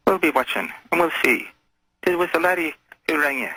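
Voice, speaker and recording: A man calling in to a radio show, talking in a folksy, calmly menacing way. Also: fairly high pitch, a little fast, a little hiss or hum, low-quality recording. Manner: folksy, calmly menacing, addresses others as lad and lassie. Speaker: phone-in caller. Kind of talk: casual conversational radio call.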